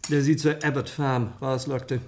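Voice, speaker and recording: An actor playing a genial, teasing village doctor in a radio drama, bantering yet measured in his delivery. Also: mid-range voice, flat delivery, slight background noise, natural voice. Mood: measured, serious.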